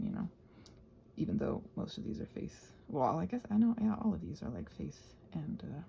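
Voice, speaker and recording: A woman chatting casually while crafting, soft and often dropping to a near-whisper, hesitant and thinking aloud, with playful little exclamations. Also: deep voice, gravelly, slight background noise. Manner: hesitant, thinking aloud, trailing off mid-sentence. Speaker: host of a crafting ramble channel. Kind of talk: casual rambling craft chat, ASMR-like.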